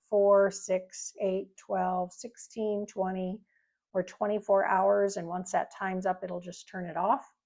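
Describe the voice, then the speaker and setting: Creaky-voiced woman casually demonstrating a product. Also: medium pitch, mellow, dull audio. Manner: informal, rambling, explanatory. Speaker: product reviewer.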